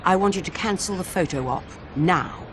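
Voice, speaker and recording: An actress playing a stern older British spy chief in a game cutscene, clipped, authoritative and dry. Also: female voice, on the low side, normal speed, close to an everyday voice. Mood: firm, cold. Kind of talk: cinematic game cutscene acting. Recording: loud background noise.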